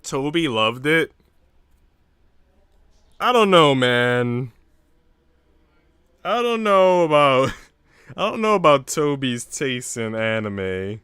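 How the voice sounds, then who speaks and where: A man's voice: medium pitch, big pitch swings, slow, pauses often, fairly bright tone, clear, resonant tone, dry recording. A young man hosting a livestream, speaking informally into a close mic. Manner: slangy, swearing, informal. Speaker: livestream host. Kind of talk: casual livestream chat.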